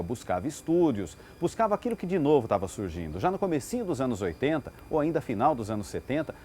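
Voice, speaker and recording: A Brazilian man talks in an explanatory interview style with very animated intonation. His voice is mid-pitched, and slight ambient noise sits behind it. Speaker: radio station interviewee. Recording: faint background ambience noise.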